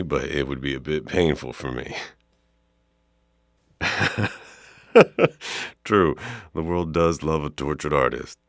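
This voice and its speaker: Very deep, creaky-voiced flirty art professor played by a man. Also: no echo, studio quality, exaggerated voice. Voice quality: very deep, gravelly.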